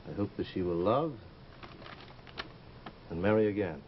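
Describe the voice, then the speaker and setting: An actor playing a brooding, intense sculptor in love, in old-time radio drama style, on a muffled vintage recording. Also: male voice, sits low, expressive, a little slow, a little hiss or hum. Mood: solemn reading.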